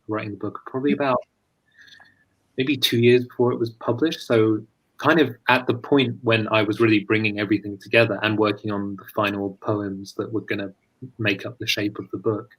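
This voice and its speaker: A man's voice: on the low side, steady tone, normal speed, a bit bright, rough recording. A British man speaking as a guest poet in a casual online conversation, thoughtful and tentative, often restarting his phrases. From London, England. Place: live online video call.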